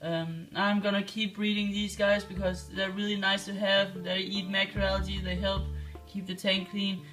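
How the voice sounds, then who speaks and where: Flat-voiced young man with Danish accent. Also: high-pitched, moderate pace, poor audio. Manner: rambling, full of ums and restarts. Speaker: aquarium hobbyist vlogger. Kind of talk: casual show-and-tell vlog. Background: music.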